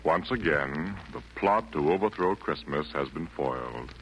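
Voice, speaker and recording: A man announcing on 1940s radio in a formal, measured style. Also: rumbling low, animated, fairly bright tone. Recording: muffled, noisy vintage radio broadcast.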